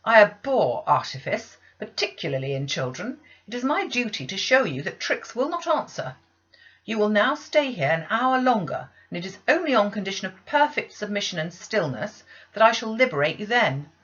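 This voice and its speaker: A woman's voice: medium pitch, big pitch swings, normal speed, a bit bright, muffled recording, pitched above the performer's own voice. A woman voicing a cold, stern mistress of the house in a peremptory, formal manner, in a storybook reading. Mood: stern, commanding.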